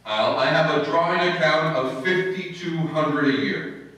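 A man's voice: a little high, unhurried, a bit bright. A man playing a long-suffering, sarcastic husband who narrates an old-time radio comedy on stage. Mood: sardonic. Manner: dry, sarcastic. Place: live stage in an indoor hall, distant camera. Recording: echoey noisy hall, far from microphone.

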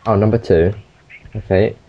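A man's voice: lowish voice, steady tone, moderate pace, creaky. A man voicing a flustered, hard-of-hearing foreign old woman who keeps mishearing numbers over a muffled phone line. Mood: confused.

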